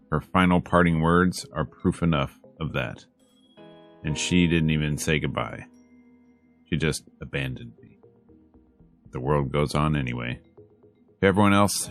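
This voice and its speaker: A man's voice: very deep voice, moderate pace, pauses often. A man reading a visual novel aloud as a streamer, dramatic in delivery with wry, joking asides, over game music. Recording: music behind the voice.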